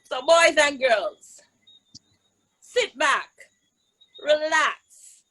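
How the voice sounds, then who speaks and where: A woman's voice: high-pitched, expressive, extremely slow, bright, forceful tone, poor audio. A woman hosting a children's story time, speaking informally to the young listeners. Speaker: host.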